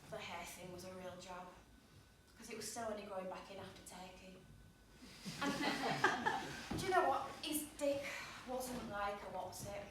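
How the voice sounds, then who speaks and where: A woman's voice: medium pitch, unhurried, close to an everyday voice. An actress playing a wounded, sweary, self-deprecating young woman in a candid, blunt live stage monologue. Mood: candid, joking. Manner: candid, blunt, swinging between joking and hurt. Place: small pub theatre stage. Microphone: distant camera or audience microphone. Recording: echoey room from far off, noisy.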